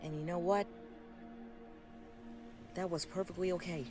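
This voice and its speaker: A man voicing a kindly, patient older grandmother in a comic dub, speaking in a gentle, folksy, reassuring way. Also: high-pitched, fairly even intonation, a little slow. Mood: storytelling. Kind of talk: scripted comic dub dialogue and storytelling. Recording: loud background music under voice. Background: film soundtrack music.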